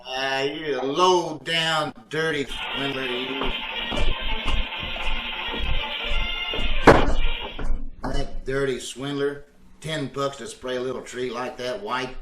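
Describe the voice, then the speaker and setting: A man's voice: on the high side, animated, normal speed, a bit bright. A man playing a blustery, scheming, folksy husband who grumbles and wisecracks in 1940s radio comedy style. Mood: indignant grumbling. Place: live video call performance. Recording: roomy, distant, noisy video-call audio.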